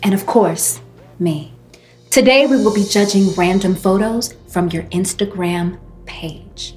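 A woman playing a self-important reality modelling show host, grand and dramatic, with weighty pauses. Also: medium pitch, a little mellow, faint music behind, lightly acted. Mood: grand, solemn. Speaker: host and head judge. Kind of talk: parody of a reality competition show.

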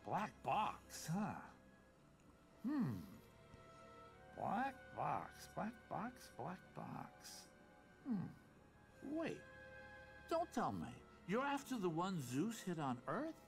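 A slick American-accented villain god of the underworld from a video game. His high, gravelly voice swoops wildly with theatrical sarcasm, over faint music. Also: wildly swooping pitch, drawn-out words, long pauses, heavily acted. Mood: pondering. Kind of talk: animated video game acting.

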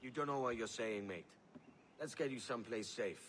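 An actor playing a brash, wry young pirate assassin who works for a price, in video game cutscene style. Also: male voice, medium pitch, moderate pace, fairly bright tone, slight background noise, close to an everyday voice. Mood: firm, impatient.

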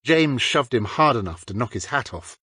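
Husky male audiobook narrator, dry sound. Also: mid-range voice, expressive, moderate pace, sharp tone, dry recording. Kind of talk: scripted fiction narration.

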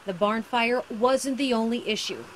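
An American woman in her thirties delivers a TV news report in a fairly high voice. There is light outdoor noise behind her as she works as a field reporter. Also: normal speed. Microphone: handheld reporter microphone.

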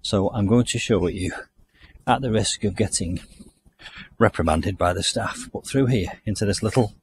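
A man's voice: on the low side, normal speed, noisy background. A man from the UK giving a chatty, enthusiastic walking tour of a country garden outdoors, recorded on the camera he carries. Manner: chatty, enthusiastic, thinking aloud. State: hot and overdressed while walking. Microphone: handheld camera mic, walking.

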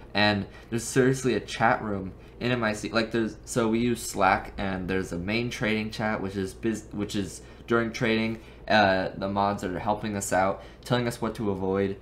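A young man in his late teens speaks in a fairly low voice. He talks in an earnest, informal way, like a casual video diary recorded at home. There is slight background noise in the recording. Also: a bit bright. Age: seventeen, late teens. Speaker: amateur trader, video creator. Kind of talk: casual recap vlog talk. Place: small bedroom, indoors.

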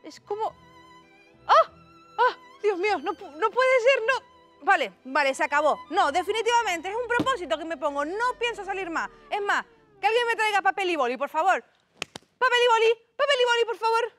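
A woman's voice: very high voice, lively intonation, moderate pace, a bit bright, faint music behind. An actress with an accent from Spain playing a grumpy, self-pitying young woman, dramatic and dryly sarcastic, in a scripted comedy. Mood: groaning, dramatic. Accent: Spanish (Spain). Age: young adult.

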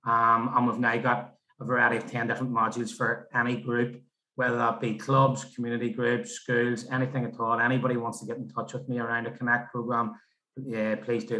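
A man's voice: mid-range voice, completely flat delivery, brisk, sharp tone. A man presenting as a programme coordinator on a roomy, echoing video call. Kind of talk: online presentation.

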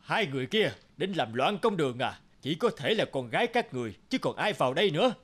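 A man's voice: a little high, very animated, fast talker, fairly bright tone, husky, full character voice. A man playing an overbearing, hasty village magistrate in a cartoon folktale, blustering and accusing. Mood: angry, accusing. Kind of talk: animated folktale acting.

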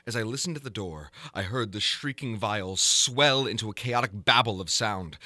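A man giving a dramatic reading of a gothic horror story in a theatrical, deliberate manner. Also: medium pitch, bright, forceful tone, no room sound. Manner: theatrical, deliberate, ornate literary delivery. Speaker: narrator, audiobook reader.

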